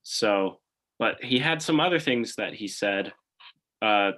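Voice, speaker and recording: A man's voice: lowish voice, expressive, slow, bright, forceful tone. A man co-hosting a podcast in casual chat, thinking aloud and often hedging what he says. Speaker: podcast co-host.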